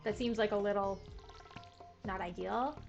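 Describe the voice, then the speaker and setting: A woman's voice: mid-range voice, measured pace, creaky. A young woman, chatting in a rambling, filler-heavy, self-deprecating way while playing a game. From Canada. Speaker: gaming video host. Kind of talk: casual chat while playing a farming game. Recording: slightly muffled with faint game music.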